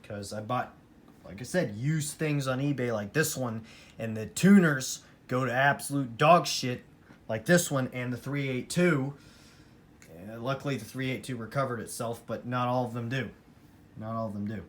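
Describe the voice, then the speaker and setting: A man's voice: medium pitch, moderate pace. A man talking casually and unscripted as a hobbyist presenting a collection, emphatic and repeating phrases for emphasis. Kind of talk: casual unscripted vlog talk. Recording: clear background noise, amateur room recording.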